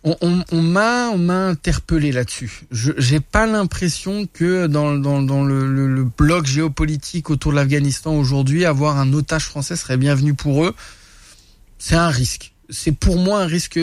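A man from France, a guest telling war stories in a casual studio interview, animated and full of restarts. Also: fairly high pitch, moderate pace, no room sound. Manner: animated, many restarts and laughs. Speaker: guest, reporter and influencer. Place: radio studio.

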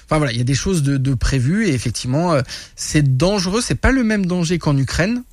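A man from France, a guest telling war stories in a casual studio interview, animated and full of restarts. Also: fairly high pitch, fast talker, dry recording. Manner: animated, many restarts and laughs. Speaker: guest, reporter and influencer. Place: radio studio.

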